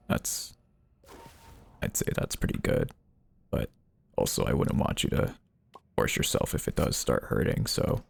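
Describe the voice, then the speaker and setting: A man live streaming casual chat, reading out viewer messages and answering them, with his voice lowered by a deep filter. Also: creaky, breathy edge. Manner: reads chat messages aloud and replies.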